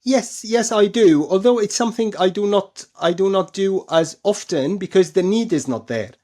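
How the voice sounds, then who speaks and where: A man's voice: sits high, moderate pace, a little mellow. A man speaking as an expert surgeon guest, explaining things in an interview, often repeating and rephrasing himself for emphasis.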